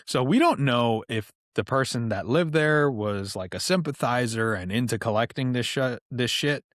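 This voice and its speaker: A man's voice: medium pitch, lively intonation, moderate pace, dry recording, clean studio sound. A man guesting on a podcast, telling stories in a loose, chatty way with lots of "like" and casual swearing. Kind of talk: casual storytelling chat.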